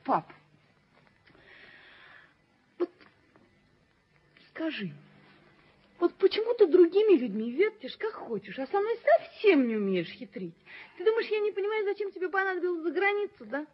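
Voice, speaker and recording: A woman's voice: very high voice, big pitch swings, normal speed, pauses often. An actress playing a willful, proud young daughter in a radio play, emotional and insistent in her delivery. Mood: insistent, probing. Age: young adult. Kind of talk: radio play stage acting. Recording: muffled 1970s radio drama recording.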